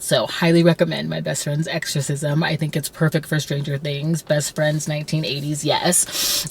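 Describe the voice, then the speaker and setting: A woman in her thirties chatting casually and effusively about books as an enthusiastic vlogger. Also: low-pitched. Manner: gushing, effusive. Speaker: book reviewer vlogger. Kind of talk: casual, enthusiastic book review chat.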